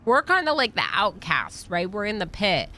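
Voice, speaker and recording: Bright-voiced woman game streamer, chatting casually. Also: mid-range voice, very animated, moderate pace. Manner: chatty, reads game dialogue aloud. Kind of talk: casual live-stream chat while playing a role-playing game.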